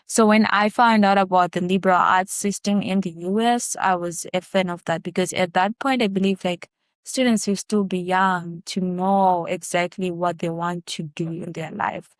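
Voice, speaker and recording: A young woman from Zimbabwe, a college student guest, answering interview questions in a relaxed way with frequent fillers. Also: fairly low pitch, moderate pace, dry recording. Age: young adult. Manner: relaxed, many fillers, laughs lightly.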